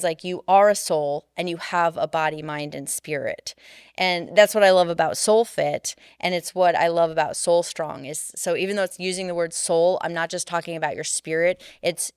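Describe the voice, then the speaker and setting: A middle-aged woman with a smooth, resonant voice that sits a little low, talking earnestly as a podcast co-host. She turns animated when telling a story. The sound is close and dry, from a studio mic. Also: no echo. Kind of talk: casual podcast chat.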